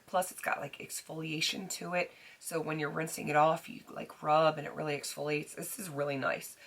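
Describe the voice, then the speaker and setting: A middle-aged woman with a raspy, slightly hoarse voice, chatting casually to camera about beauty products, with frequent ums and restarts. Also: deep voice, pushed and bright. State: recovering hoarse voice. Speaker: beauty vlogger.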